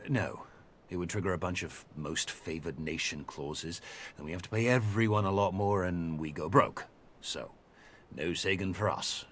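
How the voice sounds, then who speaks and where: A man acting as an earnest, measured debater in a game audio log, speaking deliberately and articulately. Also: lightly acted. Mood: resigned. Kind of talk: naturalistic game audio log dialogue and reading. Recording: steady rumbling background noise.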